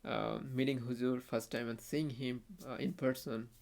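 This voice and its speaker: Bangladeshi man with a gravelly voice. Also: mid-range voice, little pitch movement, a little mellow. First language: Bengali. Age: young adult. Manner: halting, with frequent restarts and fillers. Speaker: podcast guest. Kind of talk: casual conversational storytelling.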